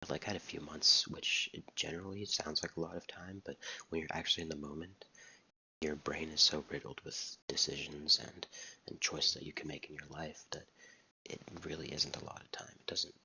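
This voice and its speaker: A young man in his late teens talking softly, almost whispering, with a halting way of repeating words, recorded close to the mic in his dorm room. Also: extremely low pitch, level tone, vocal fry, husky, lo-fi sound. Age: young adult. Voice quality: soft, near-whispering voice. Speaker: vlogger. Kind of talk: soft-spoken personal vlog. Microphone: close mic, wet mouth sounds.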